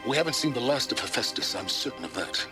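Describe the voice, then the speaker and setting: A man playing a cool, enigmatic mentor in a video game, speaking in a dry, deliberate, erudite way. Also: mid-range voice, expressive, music in the background, natural voice. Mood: grave, fascinated.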